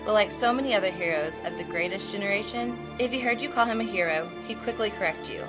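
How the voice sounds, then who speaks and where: A woman's voice: mid-range voice, quick pace, loud music behind the voice, rough recording. A woman reading scripted documentary narration.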